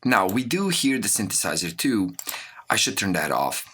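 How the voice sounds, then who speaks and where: A man giving a software tutorial as an audio course instructor, explaining things step by step. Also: on the low side, big pitch swings, normal speed, soft background music. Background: mouse clicks and computer keyboard typing.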